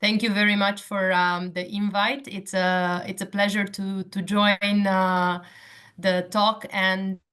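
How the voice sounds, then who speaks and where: A woman with a Romanian accent speaks as an online panelist. Her pace is very slow and measured, with hesitant phrasing and a mid-pitched voice. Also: little pitch movement, fairly bright tone. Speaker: panelist, cluster representative.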